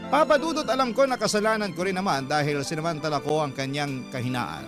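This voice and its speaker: A man with a Filipino accent reading a listener's story as a radio host, in sentimental, measured, dramatic narration. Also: on the high side, lively intonation. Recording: loud music under the voice. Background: loud background music.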